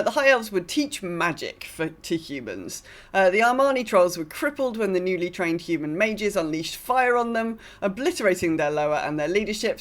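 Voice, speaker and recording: Woman podcaster with dry, sardonic delivery. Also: mid-range voice. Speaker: podcast co-host. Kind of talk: semi-scripted game lore recap with casual chat.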